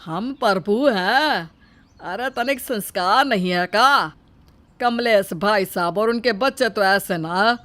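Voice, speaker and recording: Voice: medium pitch, slow pace, sharp tone. A performer voicing a pious, overbearing elderly village uncle in an audio moral story, with rustic, scolding phrasing. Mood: demanding.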